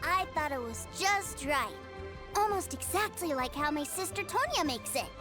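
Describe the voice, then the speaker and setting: A woman's voice: sits high, big pitch swings, normal speed. A woman voicing an innocent, frank, fussy little rich boy in a video game, with a childlike voice. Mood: wistful. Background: game music.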